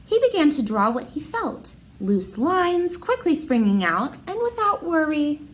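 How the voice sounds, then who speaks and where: Animated woman reading to children. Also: sits high, measured pace. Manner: expressive, encouraging, addresses children directly. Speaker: art teacher reading to pupils. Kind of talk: children's picture book read-aloud and encouraging talk.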